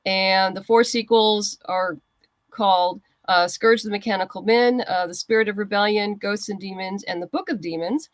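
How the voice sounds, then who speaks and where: A woman's voice: medium pitch, normal speed, dry recording. A woman being interviewed as a writer, giving explanatory, conversational answers.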